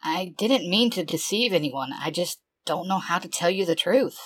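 A man voicing a remorseful female mentor figure who speaks softly and pleadingly, as if near tears. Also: high-pitched, expressive, normal speed, voice pushed up. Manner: soft and pleading. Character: remorseful female mentor being, tearful and defensive.

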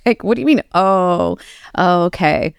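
A middle-aged woman chatting casually, telling animated stories and acting out the voices of people she quotes. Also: fairly low pitch, measured pace, gravelly, clean tone, no echo, clean studio sound. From Canada. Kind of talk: casual conversation.

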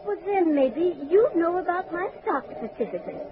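An actress playing a trusting, flustered old widow with a hesitant, folksy, polite manner in 1940s radio acting. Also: female voice, extremely high pitch, lively intonation, moderate pace, a crowd in the background. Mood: chatty, eager. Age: older. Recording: noisy, tinny 1940s radio recording.

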